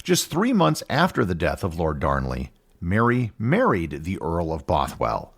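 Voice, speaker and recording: A man hosting a history podcast, reading a scripted narration in a measured storytelling manner. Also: wildly swooping pitch, husky. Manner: measured storytelling with wide pitch swings. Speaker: podcast host.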